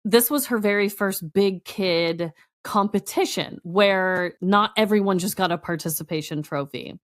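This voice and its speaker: A woman in a casual podcast chat with a mid-pitched voice. She tells stories on herself in a self-deprecating way, slipping into little acted quotes and laughing through her words. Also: measured pace. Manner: laughs while talking. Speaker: podcast co-host. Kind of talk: casual chat, personal storytelling.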